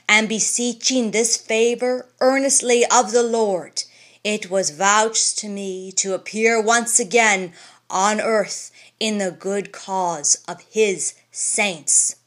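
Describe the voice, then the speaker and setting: A man's voice: high voice, pushed and bright, heavily acted. A woman voicing a stern old Puritan champion who speaks with solemn, commanding composure in an audiobook reading. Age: older. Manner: solemn, commanding, slow and deliberate. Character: stern ancient gray male Puritan champion.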